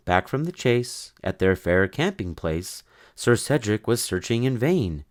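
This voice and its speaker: Low-voiced man reading a story, very animated. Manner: expressive, theatrical storytelling. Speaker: storybook narrator. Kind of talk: dramatic rhyming children's story read-aloud.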